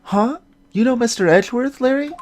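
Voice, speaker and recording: A woman's voice: on the high side, fairly quick, a little mellow, a little hiss or hum, pitched above the performer's own voice, close to an everyday voice. A woman voicing a curious, innocent teenage girl sidekick, full of naive questions, in video game dialogue. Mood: puzzled, amazed. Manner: questioning, naive, wide-eyed. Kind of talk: voiced visual novel game dialogue.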